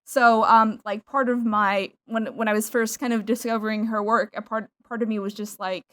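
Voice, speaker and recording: A woman's voice: on the high side, fairly even intonation, moderate pace, fairly bright tone. Rambling, chatty young woman host. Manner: rambling, self-deprecating, laughs often. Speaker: podcast host. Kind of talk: casual podcast chat.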